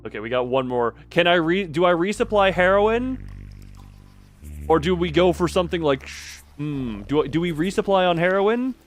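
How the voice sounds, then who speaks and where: Rambling, excitable male game streamer over music. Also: high voice, normal speed. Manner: rambling, excitable, talking to himself. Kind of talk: casual live gaming commentary, thinking aloud.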